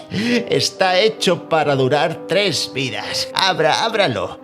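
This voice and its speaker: Man playing an animated, eager antique dealer. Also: on the high side, slow pace, over music, full character voice. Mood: eager, persuasive. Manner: persuasive, courteous salesman. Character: eager antique dealer, courteous, proud sales patter. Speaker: voice actor in an audio story. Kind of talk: dramatised short story dialogue.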